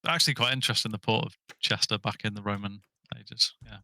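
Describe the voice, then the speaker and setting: Bright, forceful man joking at a game. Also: lowish voice, fairly even intonation, fast. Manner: jokey and chatty. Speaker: player. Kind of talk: casual chat at a game table.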